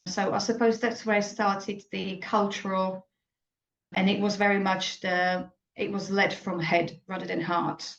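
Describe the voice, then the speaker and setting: Woman in her forties, halting and reflective, roomy echoey muffled audio. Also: mid-range voice, creaky. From Slovakia. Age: 40s. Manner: reflective, halting, frequent self-corrections. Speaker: guest. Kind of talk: personal story in an interview.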